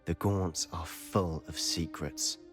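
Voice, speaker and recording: This is a teenage boy wizard in a video game, confident and a little mischievous. He talks in a measured, confiding way, as if guarding secrets. Soft music sits behind the voice. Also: deep voice, steady tone. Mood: confiding, earnest. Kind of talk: video game dialogue acting.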